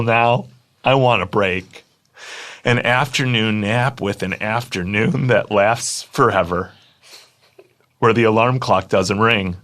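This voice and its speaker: A man's voice: mid-range voice, sharp tone. A man playing a despairing, embittered old patriot in a comic dramatic monologue, ranting profanely with folksy turns of phrase. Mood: despairing, bitter.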